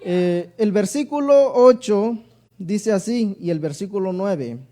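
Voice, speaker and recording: Male preacher, southern Mexican accent. High, mellow voice, deliberate delivery of sermon and scripture. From Oaxaca, Mexico. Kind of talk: sermon with Bible reading.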